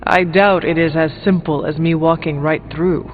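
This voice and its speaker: Male actor as a brooding doubtful hero, high voice, dry formal questioning, game dialogue, reverberant thin audio. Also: fairly even intonation, moderate pace, soft background music, natural voice. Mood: skeptical. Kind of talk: scripted video game dialogue. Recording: reverberant hall, narrow phone-like sound.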